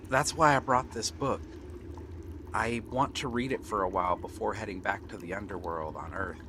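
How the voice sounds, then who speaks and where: A man acting a friendly, eager young pirate prince in an earnest, gentle ASMR roleplay with pauses. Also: lowish voice, noisy background. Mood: reassuring, pleased. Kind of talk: ASMR roleplay acting, one-sided dialogue. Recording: water and ambient sound effects behind. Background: seaside ambience, water sounds.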